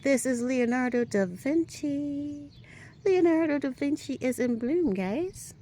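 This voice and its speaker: A woman giving a casual walking tour of her garden outdoors, chatty and upbeat, a little hoarse, often asking "right?" as she films on a handheld phone. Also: sits high, animated, slow pace, dark tone. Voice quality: a little hoarse, clears throat. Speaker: garden vlogger.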